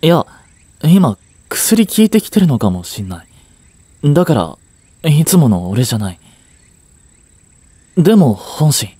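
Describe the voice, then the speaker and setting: A man playing a flustered young boyfriend, wide-swinging pitch. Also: sing-song, measured pace, pauses often, mellow, husky, dry recording, full character voice. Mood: tender, earnest. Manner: hesitant, stammering, brusque. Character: curt, flustered young boyfriend hiding his affection. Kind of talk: Japanese romance audio drama aimed at women.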